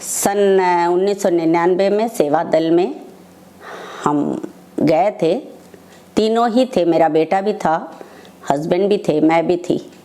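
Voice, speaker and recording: An older woman, telling personal devotional stories as a devotee, in short phrases with pauses between them. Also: lowish voice, mellow. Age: older, over 60. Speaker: devotee sharing personal testimony.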